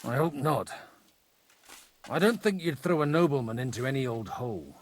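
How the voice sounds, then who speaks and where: A man playing a worldly medieval parish priest in a video game, measured and dryly witty, with music behind. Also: medium pitch, expressive, lightly acted. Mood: polite, probing. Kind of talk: video game cutscene acting.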